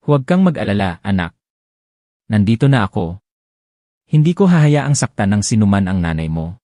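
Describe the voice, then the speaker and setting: An earnest husband torn by grief and resolve, read by a man narrating an audiobook. He pleads with a held-back intensity in a low, mellow voice, close and dry. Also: very animated, normal speed, no echo. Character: grieving determined husband, adult man.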